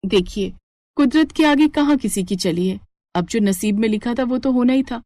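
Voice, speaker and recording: A woman's voice: fairly high pitch, normal speed, dark tone, dry recording, clean studio sound, natural voice. A woman voicing a brave, caring and fiercely protective village wife and mother in a moral story, firm and feisty. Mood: reassuring, determined.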